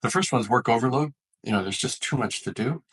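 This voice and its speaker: A man's voice: mid-range voice, animated, fairly quick, fairly bright tone, breathy edge. A man, an expert guest in an interview, explaining his points earnestly and methodically. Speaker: physician, author and leadership expert interviewed as guest.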